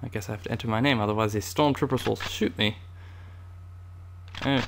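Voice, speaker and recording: A man's voice: on the low side, fairly even intonation, fairly quick, creaky, fairly bright tone, a little hiss or hum. A man hosting a gaming video in a put-on British accent, giving hammy, playful commentary as a loyal Imperial pilot. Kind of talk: playful in-character game commentary.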